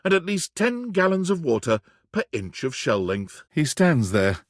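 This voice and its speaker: A man playing a deadpan storybook narrator in a comic romance game, reading scripted narration in a measured way. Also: a little high, no room sound, clean studio sound. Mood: deadpan, dramatic.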